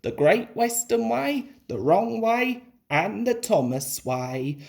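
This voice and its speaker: A woman's voice: mid-range voice, very animated, slow pace, vocal fry, a little mellow, exaggerated voice. A woman voicing a fussy, stubborn male tank engine in a children's storybook. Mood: good-humoured.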